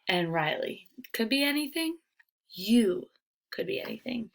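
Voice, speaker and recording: Lady teacher doing a kids read aloud, real slow, super animated. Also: a little high, vocal fry, a little hiss or hum. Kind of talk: expressive children's storybook narration.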